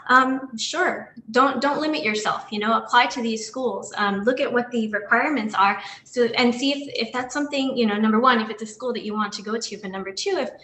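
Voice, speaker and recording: A woman's voice: on the high side, fairly quick, few pauses, pushed and bright, echoey room, a little hiss or hum. A woman in her thirties from New York giving a slide talk to an online audience as a guest dentist, earnest and full of ums and restarts. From Long Island, New York.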